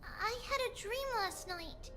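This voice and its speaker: Very high-voiced sweet little girl. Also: lively intonation, unhurried, a little hiss or hum, heavily acted. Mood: innocent, curious. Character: sweet, curious little girl talking with her mother. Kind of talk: video game cutscene acting. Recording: game audio under loud music. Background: loud music.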